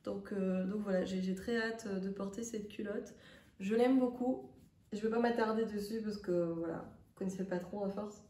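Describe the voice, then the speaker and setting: Woman, cold-stuffed nose, hesitant casual vlog chat, mid pitch, mic set back in an echoey room. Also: steady tone, normal speed, mellow. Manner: chatty, hesitant with many fillers. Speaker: sewing vlogger. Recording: roomy, distant from the mic.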